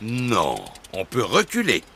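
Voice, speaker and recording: A man's voice: mid-range voice, wildly swooping pitch, slow, very noisy. A man voicing a jolly, bumbling, self-assured daddy pig in a children's cartoon, with a hearty, emphatic delivery. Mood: confident. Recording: music bed behind the voice.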